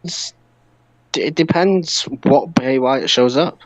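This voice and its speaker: A man's voice: medium pitch, takes it slowly, dry recording, rough recording. A man co-hosting a wrestling podcast in casual group chat, speaking bluntly and with strong opinions.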